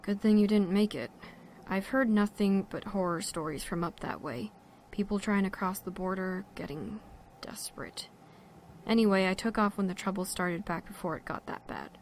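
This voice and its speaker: A woman's voice: mid-range voice, normal speed, a little hiss or hum, natural voice. Woman playing a wry, blunt park ranger. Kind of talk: audio drama acting.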